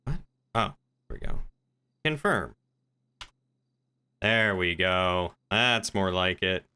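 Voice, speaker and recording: Bright, forceful man chatting on stream. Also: deep voice, lively intonation, slow, smooth. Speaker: game streamer. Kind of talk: casual livestream chat while gaming.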